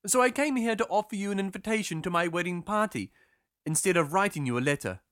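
A storyteller voicing an earnest-sounding but evasive male sailor in an emphatic, deliberate way. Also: mid-range voice, animated, a bit bright, no room sound, studio quality, pitched above the performer's own voice, close to an everyday voice. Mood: confessing.

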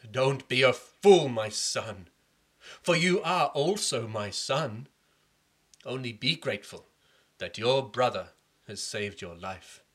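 Brief: man playing a commanding old king, slow and deliberate, bright tone. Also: mid-range voice, animated, husky, full character voice. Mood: stern. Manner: measured, fatherly, commanding. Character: proud, stern older king.

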